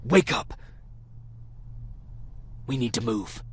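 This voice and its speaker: A man's voice: mid-range voice, expressive, slow pace, a little hiss or hum. A man playing an earnest, guarded soldier hero in a video game. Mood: urgent. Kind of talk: video game dialogue acting.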